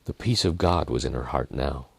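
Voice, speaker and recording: A man reading a dramatic story in a measured manner, with deliberate pauses and softened phrases. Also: rumbling low, vocal fry, breathy edge, dry recording. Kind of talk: dramatic scripted narration.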